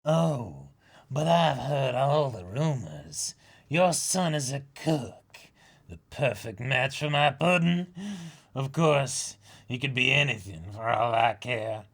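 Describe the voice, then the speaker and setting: A huge pirate matriarch, acted by a man in a manga reading. The delivery is unhurried and grand, with some creak, sounding motherly and indulgent yet callous. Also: medium pitch, animated, fairly bright tone, exaggerated voice. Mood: grandly welcoming.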